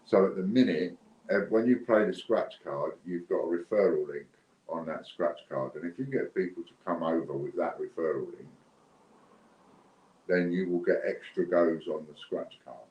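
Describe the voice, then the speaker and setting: A British man with a low, deep voice chats informally as a livestream host, wandering from one point to the next. The sound is roomy and echoing, with noticeable noise behind him. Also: moderate pace. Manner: chatty, informal, rambling. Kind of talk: casual unscripted livestream chat. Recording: dull lo-fi, roomy echo, clear background noise.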